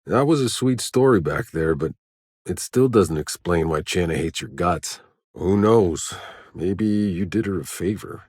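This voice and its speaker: An actor playing a gruff, world-weary soldier and scavenger in a video game, terse and dry, with a low husky voice. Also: male voice, expressive, a little slow, darkish tone, no room sound, full character voice. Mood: dry, wry.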